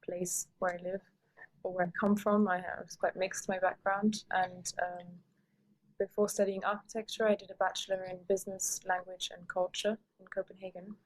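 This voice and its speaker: A woman in her thirties from Sweden, an architect, answering podcast questions calmly and hesitantly with many fillers. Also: mid-range voice, normal speed, poor audio. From Sweden, raised in several countries. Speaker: architect, guest.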